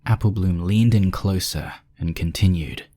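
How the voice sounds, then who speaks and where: A man's voice: deep voice, unhurried, mellow. A man reading scripted story narration as the narrator.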